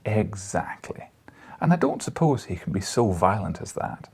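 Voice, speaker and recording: A man's voice: lowish voice, very animated, normal speed, vocal fry, breathy edge, full character voice. A man voicing a wry, coaxing old newspaper editor with a Scottish accent in an audiobook. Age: older.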